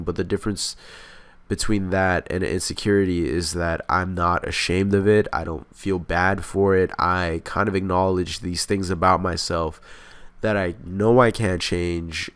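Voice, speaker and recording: Deep-voiced congested man, close to the mic. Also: fairly bright tone, dry recording. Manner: rambling, profane, candid. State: sick and congested. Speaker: gaming commentator. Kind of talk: casual commentary over gameplay. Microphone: close mic, inches away.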